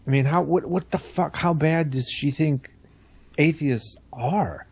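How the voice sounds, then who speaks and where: A man's voice: fairly high pitch, measured pace, vocal fry, darkish tone, slightly rough. A man on a podcast panel, talking casually with some swearing. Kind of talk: casual, profane chat.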